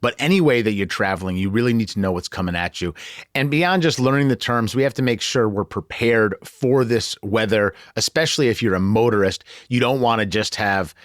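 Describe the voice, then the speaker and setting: A man's voice: lowish voice, fairly quick, dry recording, studio quality. A man hosting a news podcast in a conversational style. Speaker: podcast host.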